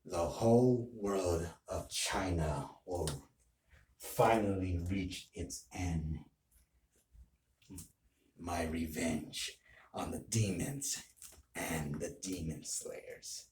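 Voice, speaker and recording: A man playing a vengeful anime villain bent on ruling the world, delivering a menacing, boastful, halting monologue. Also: deep voice, darkish tone, far from the mic, slight background noise. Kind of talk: solo anime villain role play. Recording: echoey room.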